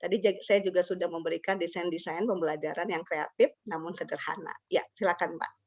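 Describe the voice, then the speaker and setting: A woman's voice: on the low side, fairly even intonation, fairly quick. A woman hosting an informal webinar, speaking politely and encouragingly. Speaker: webinar host. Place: online video call. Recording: dull lo-fi online call audio.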